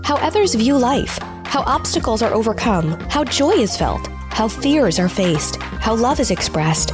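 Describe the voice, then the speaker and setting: A woman reading a scripted podcast promo as narrator, with measured, polished phrasing. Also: fairly high pitch, sing-song, music in the background.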